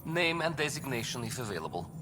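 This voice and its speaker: Man acting as officious game bureaucrat, deadpan, formal, lively pitch swings, long reverb, noisy background. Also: fast, lightly acted. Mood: formal, procedural. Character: dry, officious male bureaucrat managing cryo deployments. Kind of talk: video game character dialogue. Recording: reverberant hall, clear background ambience.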